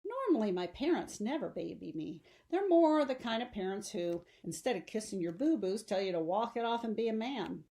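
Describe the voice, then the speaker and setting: A woman narrates a story for young readers in the manner of an audiobook. Her delivery is expressive, with a medium-pitched voice that carries the first-person narration. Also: normal speed, few pauses. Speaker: teacher reading a novel aloud.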